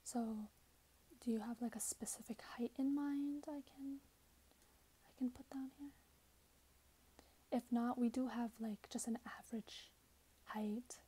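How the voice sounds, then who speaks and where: A woman in her twenties speaking in a hushed, breathy whisper, gently role-playing a customer service clerk for ASMR. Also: on the high side, fairly even intonation, a little slow, long pauses, rough recording. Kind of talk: soft-spoken ASMR role-play. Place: small plain indoor room.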